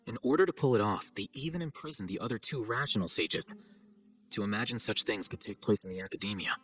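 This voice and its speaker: A man playing an arrogant young scholar in a video game, composed and deadpan, with a condescending dryness. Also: on the low side, quick pace, soft background music, close to an everyday voice. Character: cool, arrogant young male scholar, dry and sardonic.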